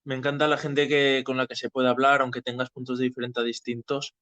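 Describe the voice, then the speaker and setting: A man from hosting a casual discussion, explaining in a halting way as he searches for phrasing. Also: medium pitch, flat delivery, fairly bright tone, no room sound. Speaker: host of a political audio discussion. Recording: dry, slightly dull lo-fi audio.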